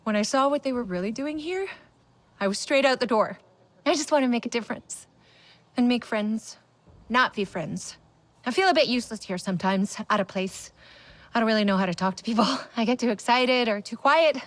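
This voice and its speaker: A woman's voice: on the high side, dry recording, lightly acted. A woman voicing an eager, awkward young recruit. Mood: wistful. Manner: fast, excitable, nervous.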